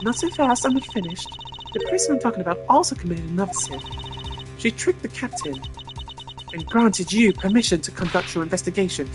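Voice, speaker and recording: A game streamer voicing an imperious, sharp-tongued female investigator in a commanding, theatrical manner. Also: mid-range voice, expressive, brisk, over loud music, voice pushed up, lightly acted. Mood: smug, formal.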